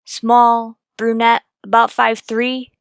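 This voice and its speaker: A woman's voice: fairly high pitch, monotone, slow pace, gravelly, dry recording, studio quality. A woman playing a tough, firm police detective, terse and pressing, in a radio detective drama. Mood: matter-of-fact. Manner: terse, hard-boiled, pressing. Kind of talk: old-time radio detective drama acting.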